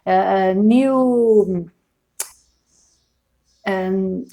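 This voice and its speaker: A woman from Brazil with a Brazilian Portuguese accent, giving a tarot reading in English, her second language, at a slow and deliberate pace, often pausing to search for words and repeating phrases. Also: mid-range voice, warm tone. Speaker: tarot reader. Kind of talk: unscripted tarot reading to camera.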